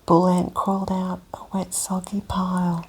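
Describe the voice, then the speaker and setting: A woman whispering softly as she reads a children's picture book aloud for an ASMR session. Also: fairly low pitch, completely flat delivery, moderate pace, breathy edge. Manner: soft gentle whisper. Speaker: host. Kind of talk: ASMR whispered storybook reading.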